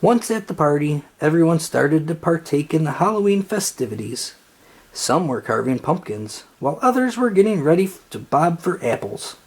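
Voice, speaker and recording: Animated storybook reader, slightly husky. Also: medium pitch, normal speed. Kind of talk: animated children's read-aloud narration.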